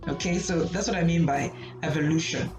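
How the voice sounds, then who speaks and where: Low-voiced woman, chatty and roomy. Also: brisk. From Nigeria. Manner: chatty and rhetorical, often checks in with "okay?". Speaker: student therapist and channel host. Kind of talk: casual educational talk to camera. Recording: roomy, slightly dull sound with music behind.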